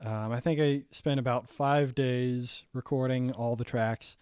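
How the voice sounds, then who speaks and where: A young man walking through his own saxophone arrangement in a casual, halting way, full of ums and restarts, his voice getting raspy. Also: mid-range voice, steady tone, a little mellow, dry recording, clean studio sound. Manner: halting, many ums and restarts, thinking aloud. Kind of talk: casual screen-share breakdown.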